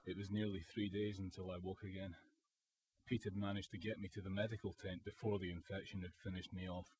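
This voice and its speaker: A man's voice: low voice, flat delivery, quick pace, darkish tone, natural voice, low-quality recording. A man acting a weary wounded young soldier in a radio drama, sombre and reflective about the war. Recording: faint hiss, student radio drama.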